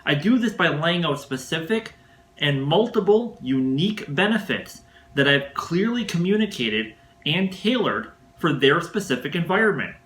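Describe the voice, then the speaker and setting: A male sales coach with a bright, forceful voice pitched a little high delivers scripted instruction. He phrases things in a measured, emphatic and didactic way. The sound is noticeably roomy and echoing.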